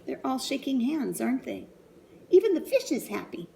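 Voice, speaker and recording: A woman reading a picture book aloud to young children in an animated, sing-song way, pausing for asides and questions. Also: sits high, vocal fry, a little hiss or hum.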